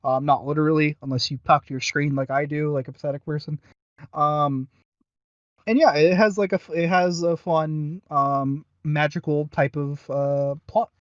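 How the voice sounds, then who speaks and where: A man hosting a podcast in a casual review chat, halting and self-deprecating. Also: on the high side, steady tone, dry recording. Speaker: podcast host. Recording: dry but dull lo-fi audio.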